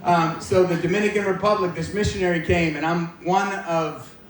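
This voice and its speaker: A man's voice: sits high, fairly even intonation, moderate pace, a crowd in the background. An American man in his forties giving an informal personal testimony to a live audience, with a joking, self-deprecating manner. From Maine, United States. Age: 40s. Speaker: guest speaker giving testimony at church. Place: large echoey indoor room, speaking to a gathering. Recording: echoey room, distant mic, faint noise.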